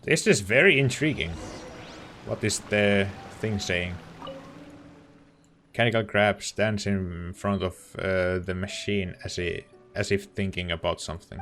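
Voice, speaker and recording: A man's voice: low-pitched, a little slow, a bit bright, a little hiss or hum. A man giving casual let's play commentary, offhand, reacting and musing aloud.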